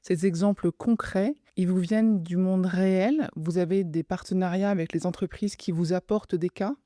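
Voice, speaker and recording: A woman interviewing a guest on a show about school choices, asking clear and direct questions. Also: on the low side, steady tone, moderate pace, mellow, no room sound, studio quality.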